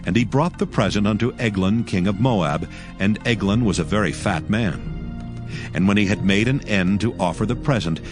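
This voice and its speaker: Male Bible narrator, lowish voice, solemn and measured, light musical underscore. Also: over music. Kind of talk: dramatized scripture narration.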